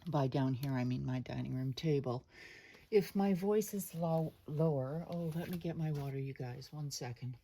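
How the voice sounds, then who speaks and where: A woman hosting her own art channel, chatting casually at her dining table. She rambles with many fillers and asides to viewers, her voice lower than usual today. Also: normal speed, dark tone, slight background noise. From Canada. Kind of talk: casual unscripted chat. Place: home dining room table.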